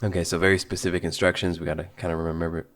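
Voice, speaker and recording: A man giving casual, informal reaction commentary, thinking out loud as he watches. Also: low-pitched, level tone, very fast. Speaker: reaction video host.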